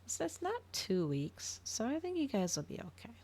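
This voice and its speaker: A woman's voice: fairly high pitch, huge pitch swings, moderate pace, darkish tone. A woman livestreamer chatting casually to her viewers, rambling and thinking aloud as she goes. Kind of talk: casual chat to stream viewers.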